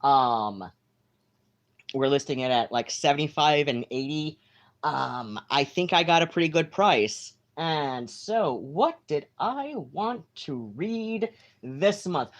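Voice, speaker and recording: A home live-streamer talks slowly and unhurriedly, wandering from thought to thought. The voice sits at a middling pitch, with plenty of ums and self-corrections along the way. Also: expressive. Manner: meandering, many fillers and restarts. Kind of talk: casual rambling live-stream chat.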